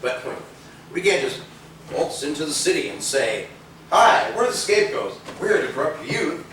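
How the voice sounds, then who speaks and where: A man's voice: a little high, sing-song, moderate pace, a bit bright. A man acting a cocky, skeptical, wisecracking rebel husband in a playful, theatrical stage style. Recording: echoey stage videotape, distant, faint noise.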